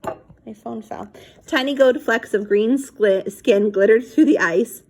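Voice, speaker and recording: A woman's voice: fairly high pitch, takes it slowly, slight background noise. A woman reading a children's book aloud expressively, acting out dialogue and chatting casually to young listeners between passages. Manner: animated, acts out dialogue and sound effects.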